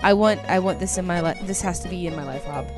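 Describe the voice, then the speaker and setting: A woman's voice: fairly low pitch, fast talker, over loud music. A woman co-hosting a podcast, reading listener emails aloud in a casual, gushing way that is peppered with swearing and ums. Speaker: podcast co-host.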